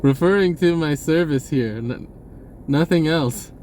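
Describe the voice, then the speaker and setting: A man with a slightly high voice rambles through an unscripted monologue. He stops and restarts, repeating words as he goes. A faint noisy rumble sits behind him. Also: a little slow, darkish tone. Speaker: spiritual video blogger. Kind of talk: casual unscripted monologue to viewers.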